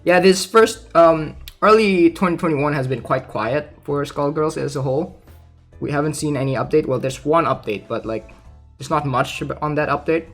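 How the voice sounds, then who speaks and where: A man's voice: a little high, moderate pace. A man casually reading aloud and commenting in an informal, chatty way, like a gaming content creator. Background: background music.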